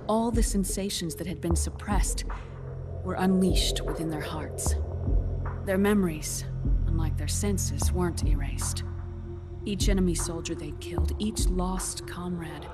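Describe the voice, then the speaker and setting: A woman performs a compassionate scientist in a dramatic video game. Her voice is a little low, with solemn, carefully measured phrasing and quiet intensity. Music plays clearly behind her. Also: close to an everyday voice. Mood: intense, sorrowful.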